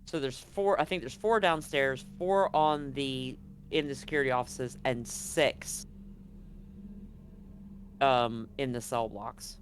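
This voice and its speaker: A man's voice: medium pitch, takes it slowly. A man running a tabletop role-playing game as game master, narrating scenes and options in a casual, conversational way.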